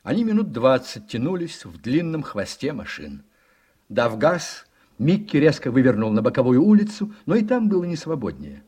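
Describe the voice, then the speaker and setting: A man's voice: medium pitch, huge pitch swings, moderate pace, warm tone, husky. A man narrating a Russian radio play in a scripted, storytelling style.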